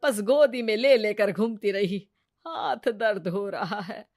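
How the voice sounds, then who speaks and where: A woman's voice: medium pitch, slow, a little mellow, dry recording, studio quality. A woman playing a nagging, self-pitying middle-aged mother-in-law in a Hindi moral-story cartoon, carping and exclaiming. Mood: self-pitying complaint.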